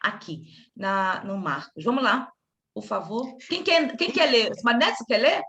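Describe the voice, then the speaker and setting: A woman from Rio de Janeiro with a Carioca accent, leading a casual study group discussion in an animated, chatty way, often breaking off and restarting. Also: on the high side, normal speed. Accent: Carioca Brazilian Portuguese. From Rio de Janeiro, Brazil. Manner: animated, chatty, self-interrupting.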